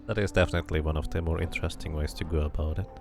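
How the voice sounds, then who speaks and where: A man's voice: a bass voice, fairly even intonation, rapid-fire, over music. A man streaming a game, switching between casual commentary and reading the story text aloud as narration.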